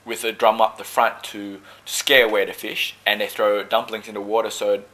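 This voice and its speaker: A man from Malaysia who talks about his team sport in relaxed, joking interview answers. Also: lowish voice, normal speed, poor audio. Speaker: dragon boat paddler.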